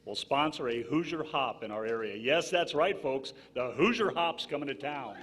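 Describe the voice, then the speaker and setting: A man's voice: mid-range voice, lively intonation, normal speed, noisy background, lightly acted. A man playing an enthusiastic, folksy 1940s local radio announcer in a promotional style. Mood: upbeat promotional.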